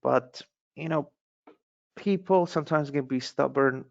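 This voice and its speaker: A man from Sweden talks through market commentary in a loose, rambling way, often restarting his sentences. His voice has a gravelly edge, and the recording sounds dull, dry and close. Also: medium pitch, a little slow, no room sound. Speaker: market analyst.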